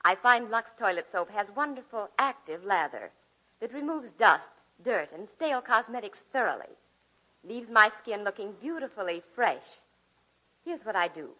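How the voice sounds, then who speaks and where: An actress playing a contented housewife giving a testimonial in a 1940s radio advertisement. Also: female voice, medium pitch, sing-song, moderate pace, bright, forceful tone. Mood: pleased, instructive. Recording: dull vintage radio recording.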